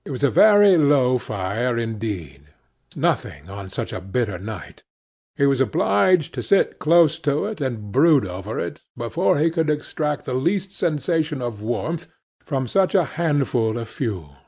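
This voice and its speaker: A man reading a classic novel aloud as an audiobook, with measured and expressive storytelling. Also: medium pitch, slightly rough. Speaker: audiobook reader. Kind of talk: scripted narration of a classic novel.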